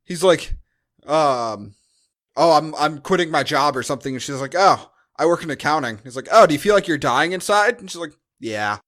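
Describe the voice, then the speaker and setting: A man's voice: fairly high pitch, animated, normal speed, fairly bright tone. An American man co-hosting a podcast, talking casually about a film in a blunt, joking, sweary way. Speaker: podcast co-host. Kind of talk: casual film review chat.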